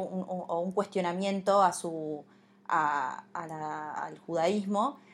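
A woman interviewer, her voice pitched in the middle range. She builds long questions slowly, hesitating and circling back as she goes. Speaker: radio interviewer.

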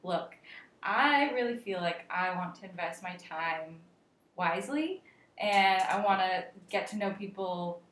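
A woman co-hosting a podcast, calmly talking through advice. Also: on the low side, measured pace, distant mic. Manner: calm, reasoning, gives advice. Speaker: podcast co-host. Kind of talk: casual advice chat. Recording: echoey room, a bit off-mic.

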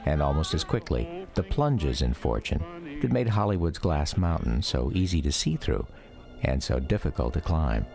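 A man's voice: a bass voice, sing-song, normal speed, gravelly, a little mellow, soft background music. A man giving scripted memoir narration for a radio documentary, reflective and storytelling.

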